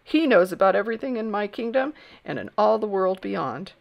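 Woman voicing a blustering storybook king, animated. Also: a little fast, creaky, highs cut off. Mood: imperious. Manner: imperious, booming orders. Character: blustering, commanding old king, male.

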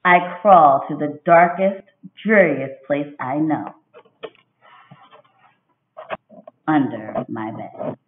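A woman voicing a moody little girl who narrates a storybook, with expressive, emphatic delivery in a roomy recording. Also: sits low, slow, a little hiss or hum. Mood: gloomy. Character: moody, dramatic little girl telling her miserable day. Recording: dull, roomy home webcam recording.

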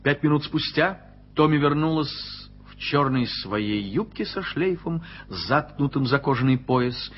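A man narrating a 1980s radio play in a measured storytelling manner. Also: on the high side, animated, slight background noise. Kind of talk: scripted literary narration. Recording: lo-fi archival radio recording with music.

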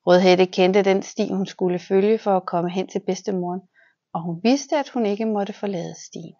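A young woman, a children's physiotherapist, reading a fairy tale aloud in a large exercise room, lively voices for the characters. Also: medium pitch, dull audio. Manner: lively voices for the wolf and girl.

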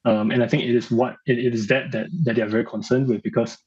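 A man in his thirties giving analysis in a news interview, speaking haltingly and repeating phrases as he searches for words. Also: mid-range voice, little pitch movement, darkish tone, slightly rough. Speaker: analyst guest. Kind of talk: live news interview commentary. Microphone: video call. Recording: slightly dull video-call audio.

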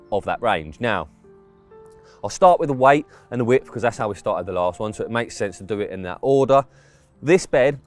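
This is a man, walking through gear specs in an informal, chatty way. He is recorded outdoors in the woods, and the sound is dry and close with no echo. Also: medium pitch. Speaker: fishing gear reviewer. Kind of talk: product review walkthrough. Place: outdoors in woodland.

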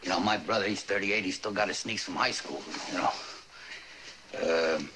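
An actor playing a rumpled middle-aged police detective in a crime drama, hesitant, digressive and deferential. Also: male voice, low voice, normal speed, background noise, lightly acted. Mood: rambling, sheepish. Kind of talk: television crime drama acting.

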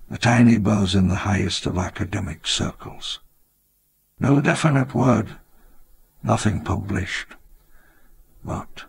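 Aging park visionary, game voice-over: very slow, gravelly, solemn and reflective. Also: male voice, lowish voice. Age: older. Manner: measured, reflective, grandly solemn. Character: elderly grandiose dinosaur-park founder, wistful. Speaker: narrator of his own memoir. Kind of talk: scripted memoir narration for a game.

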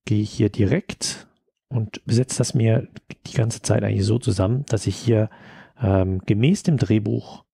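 A man presenting a casual software tutorial, thinking aloud as he works. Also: lowish voice, unhurried, vocal fry, breathy edge, dry recording, clean studio sound. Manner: thinking aloud while working.

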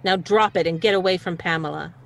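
A woman's voice: lowish voice, brisk, vocal fry, slight background noise. A woman playing a fierce, cool-headed rescuer in a radio mystery play. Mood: cold, commanding.

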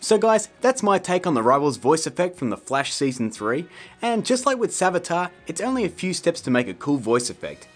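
Animated, slightly husky male tutorial host. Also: fairly high pitch. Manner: jokey, casual, emphatic. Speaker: host of a filmmaking tutorial show. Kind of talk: playful scripted tutorial to camera.

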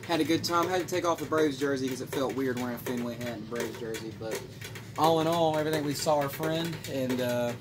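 A man's voice: mid-range voice, fairly quick, noisy background. A young man chatting casually on camera outdoors at night, answering in short, easygoing comments. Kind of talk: casual chat. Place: outdoors beside a ballpark brick wall at night.

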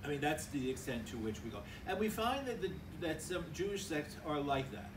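A man's voice: a little high, sing-song, a little fast, background noise. An older man, a priest, giving a conversational homily at a lectern in a large church hall, filmed from a distance. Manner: conversational, acts out little dialogues. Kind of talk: sermon. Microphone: stand mic at a lectern, filmed from far.

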